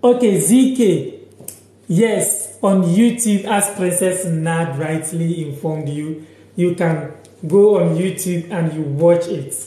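A young man from Ghana teaching a live language lesson on his phone, slowly repeating words and sentences and reading out viewer comments. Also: a little high, dark tone, echoey room, poor audio. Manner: slow, repeats each word and sentence several times. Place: small room indoors, sitting on a sofa.

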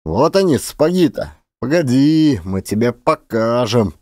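A man's voice: mid-range voice, very animated, unhurried, a little mellow, no room sound. A male actor voicing a bossy village elder who scolds insistently, in an audiobook play. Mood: angry scolding.

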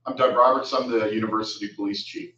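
A man's voice: lowish voice, steady tone, fast talker, bright, forceful tone, far from the mic, a little hiss or hum. A man in his fifties, a university police chief, speaking in a good-humored way with some "uh" fillers. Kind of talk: casual panel talk. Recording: muffled, roomy, from off the mic.

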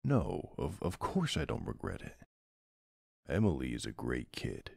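A man playing a tough but soft-hearted mafia boss husband in an intimate ASMR roleplay, murmuring tenderly with a very deep, gravelly voice. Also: huge pitch swings, unhurried, dry recording, clean studio sound, full character voice. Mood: tender, uneasy.

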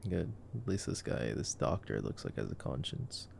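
A man's voice: very deep voice, big pitch swings, normal speed, creaky, darkish tone, slight background noise. A young man giving casual ASMR movie commentary in a laid-back, joking, murmured way. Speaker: ASMR boyfriend roleplayer commenting on a film.

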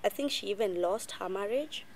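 A Kenyan woman in her thirties, a guest telling her life story in a measured, reflective way, switching between English and Swahili, recorded outdoors in a garden on a lapel mic. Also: mid-range voice, lively intonation, a crowd in the background. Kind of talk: personal testimony in conversation.

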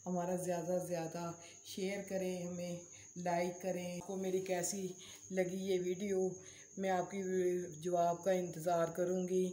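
A Pakistani woman talks casually to her vlog viewers in a homely, pious, repetitive way. Her voice is mellow and fairly even in melody. The room adds clear echo. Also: on the low side, unhurried. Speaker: vlogger. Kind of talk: casual home vlog chat. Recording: roomy echo, faint background hiss.

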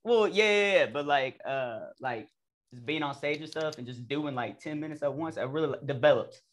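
Young man, podcast host, fairly high voice, friendly, hesitant. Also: moderate pace. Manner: upbeat, friendly, hesitant fillers. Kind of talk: casual interview.

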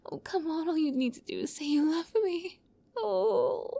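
A woman playing an obsessive young yandere captor in an ASMR roleplay, close to the mic, moving between soft whispers and giddy, high outbursts. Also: animated, moderate pace, gravelly. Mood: manic, coaxing.

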